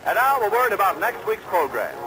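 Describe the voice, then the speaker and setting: A man's voice: high voice, big pitch swings, brisk, over music, rough recording. An American man reading 1940s radio advertising as an announcer, with a polished salesman's delivery. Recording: old radio broadcast, rain overlaid.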